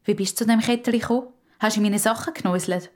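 A woman's voice: medium pitch, steady tone, fast, a little mellow, pitched above the performer's own voice, natural voice. A woman voicing a serious, wounded mother in a children's story, with a Swiss German accent. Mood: cold, accusing. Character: girl's mother, stern, sad, wounded.

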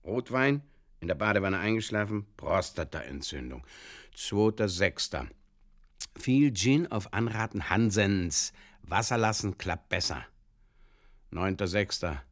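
A man playing a despairing drinker who reads out absurd diary entries in terse, deadpan fragments, in a comic audio drama. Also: sits low, measured pace. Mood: deadpan, uneasy.

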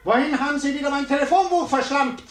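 An actor playing a pompous, irritable and easily flustered small-office boss in the manner of 1950s German radio comedy, nagging and impatient. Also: male voice, very high-pitched, quick pace. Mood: flustered, blustering. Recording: 1950s radio recording, noisy, clicks.

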